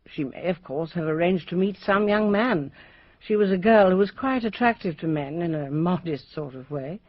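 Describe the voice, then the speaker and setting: Woman acting brisk headmistress, formal, blunt, radio drama, dry slightly muffled sound. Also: lowish voice, no echo, close to an everyday voice. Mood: measured, formal. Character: brisk, unsentimental, authoritative headmistress.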